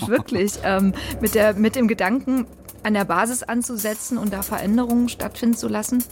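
A woman's voice: fairly high pitch, moderate pace, slight background noise. A woman in her thirties, a social worker, speaking reflectively in a documentary interview with many fillers.

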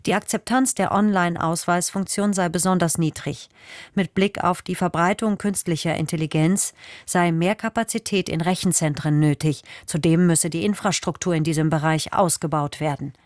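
A woman reading the news on the radio in a measured, formal way. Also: fairly low pitch, fairly even intonation, dry recording, studio quality. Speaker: newsreader. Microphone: studio microphone.

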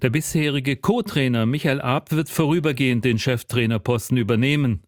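A man's voice: mid-range voice, brisk, no room sound. Male sports reporter, very dry studio. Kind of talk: scripted news report.

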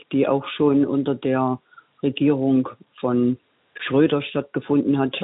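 A man calling a radio show, speaking in a flat, slightly hesitant way. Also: medium pitch, dry recording. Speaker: caller. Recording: phone line.

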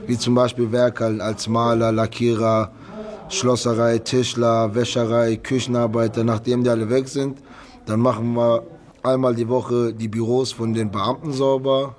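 A man's voice: medium pitch, flat delivery, normal speed, a little mellow. A man in his mid-thirties speaking casually as an interviewee, in simple colloquial phrasing with articles often dropped. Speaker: prison inmate being interviewed. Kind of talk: casual spoken interview account.

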